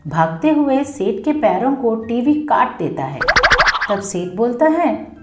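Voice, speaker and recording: Female narrator, children's story, animated high voice, unhurried, roomy echo, music behind.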